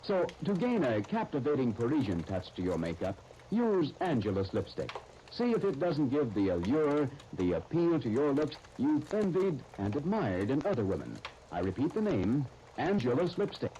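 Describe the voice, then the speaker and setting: Animated, mellow 1930s American radio announcer man. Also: medium pitch, moderate pace. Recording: noisy 1930s radio broadcast recording.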